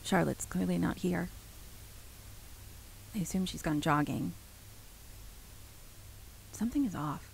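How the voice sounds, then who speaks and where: An actress playing an uneasy, brooding woman who haltingly records her account in a horror drama, with a husky, gravelly voice. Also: fairly low pitch, a little hiss or hum, rough recording. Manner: halting, brooding, breaking into whispers.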